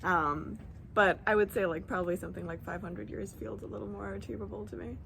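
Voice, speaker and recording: A woman's voice: mid-range voice, fairly even intonation, a little fast, vocal fry, fairly bright tone, slight background noise, low-quality recording. A woman in her late twenties who grew up in Dubai, chatting as an interview guest, with many filler "likes" and ums and easy laughter. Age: 20s. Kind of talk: casual conversation. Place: lives in San Francisco.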